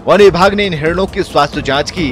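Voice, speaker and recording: A man reads a news report in a formal, measured way. His voice sits a little high, with faint music underneath. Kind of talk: scripted news narration.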